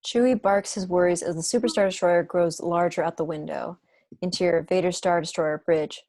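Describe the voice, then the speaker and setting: A woman narrates a script's stage directions. The voice sits fairly low, and the sound is dry and close, as on a video call. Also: fairly even intonation, normal speed, darkish tone, no room sound.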